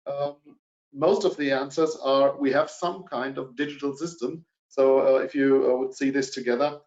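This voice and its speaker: A man's voice: mid-range voice, steady tone, normal speed, darkish tone. German-accented man hosting a webinar. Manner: hesitant, many fillers. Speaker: webinar presenter. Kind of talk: presenting a business webinar. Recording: dull, roomy webinar audio.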